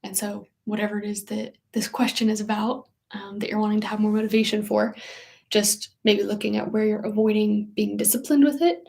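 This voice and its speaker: A woman in her late twenties co-hosts a live stream. Her mid-range voice carries a lot of vocal fry, and she gives her views in a calm, matter-of-fact way. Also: mid-range voice, fairly quick. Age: 20s. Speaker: co-host. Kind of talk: live Q&A, casual chat.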